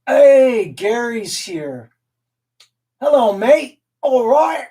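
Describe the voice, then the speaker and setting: A man's voice: extremely high pitch, sing-song, drawn-out words, dark tone, distant mic. A man raised in Canada hosting a casual live stream, rambling, laughing often and reading the chat aloud. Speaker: livestream host and guitar collector.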